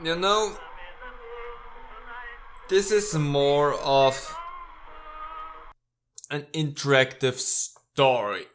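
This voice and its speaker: A man giving casual let's play commentary as a gaming video host, haltingly thinking out loud as he goes. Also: on the high side, slight background noise.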